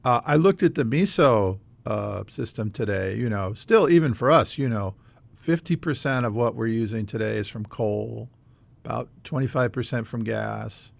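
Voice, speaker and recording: An American man hosts a casual radio interview in a curious, conversational manner. His voice has a middling pitch with plenty of creak. The sound is close and dry, with very little room in it. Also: moderate pace, no echo. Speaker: host.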